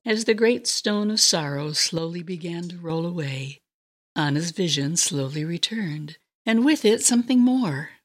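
A woman's voice: on the low side, expressive, unhurried, faint music behind. A woman narrator giving a dramatic scripted reading of a Bible story. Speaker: narrator and co-host.

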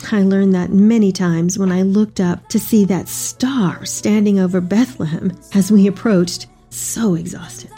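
Woman acting elderly devout mother, mellow tone, affectionate letter reading, dramatic storytelling, music underneath. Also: mid-range voice, husky. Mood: hopeful, solemn.

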